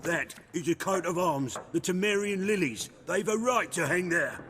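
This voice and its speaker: An actor playing an indignant, defiant old peasant in a fantasy video game, with a creaky voice. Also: male voice, high-pitched, animated, moderate pace, fairly bright tone, slight background noise.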